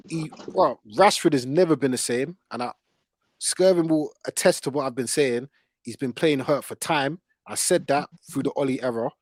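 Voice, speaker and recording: Man, average pitch, dry close sound, emphatic, slangy, repetitive, podcast host. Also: a little mellow, no room sound. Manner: emphatic, slangy, repeats himself for stress. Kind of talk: casual football debate.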